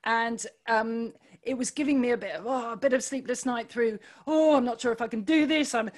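A woman with a loud voice, talking earnestly in an interview, pausing often with fillers and restarts. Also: fairly high pitch. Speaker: podcast guest, running club founder. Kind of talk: reflective conversational interview.

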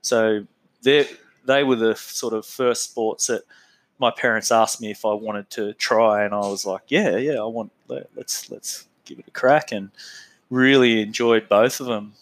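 A man's voice: fairly low pitch, fairly even intonation, a little slow. A man with an Australian accent chats loosely as an interview guest. His delivery wanders and is full of fillers, broken up by easy laughter. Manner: laid-back, rambling, laughs often, lots of fillers. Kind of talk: casual interview chat.

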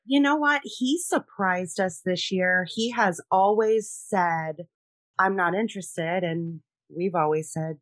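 Bright, chatty woman from Rhode Island. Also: on the low side, takes it slowly, no echo. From Rhode Island, USA. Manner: friendly and chatty, with frequent fillers. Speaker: guest, military spouse and veteran. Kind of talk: conversational podcast interview.

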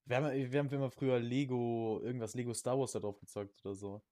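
A man's voice: medium pitch, flat delivery, fast talker, warm tone, no echo. Young man, podcast co-host, casual slangy chat, rambling and repetitive, very dry recording. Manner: slangy, rambling, repeats words.

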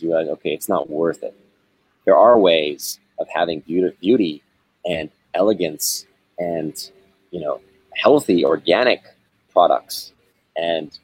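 A man talking reflectively about spirituality in a conversation, with measured, searching phrasing and many pauses. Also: sits low, darkish tone, breathy edge, dry recording, poor audio. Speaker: spiritual guest.

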